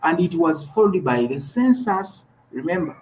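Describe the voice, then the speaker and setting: A man's voice: on the high side, sing-song, moderate pace, darkish tone, a little hiss or hum, low-quality recording. Earnest Ugandan man with faint ambience. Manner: earnest, repeats words and restarts often. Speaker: talk show co-host. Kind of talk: opinionated political commentary.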